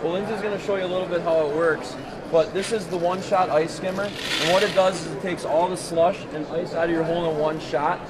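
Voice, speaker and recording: A young man giving a confident sales pitch for a product at a trade show booth. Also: fairly even intonation, fairly quick, over a noisy crowd. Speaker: company representative. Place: busy expo hall booth. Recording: loud background noise.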